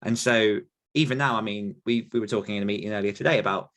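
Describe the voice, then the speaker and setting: A man's voice: lowish voice, fast talker, a bit bright. A man hosting a podcast, talking casually and rambling with many restarts and fillers as he answers questions. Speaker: podcast host and author coach. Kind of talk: casual conversational podcast chat.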